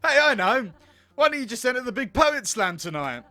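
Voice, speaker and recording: A man doing a goofy, high-pitched restaurant captain. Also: sing-song, moderate pace, pushed and bright, no room sound, exaggerated voice. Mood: eager. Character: earnest, goofy male restaurant captain, naive and eager. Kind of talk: comedy visual novel character voice.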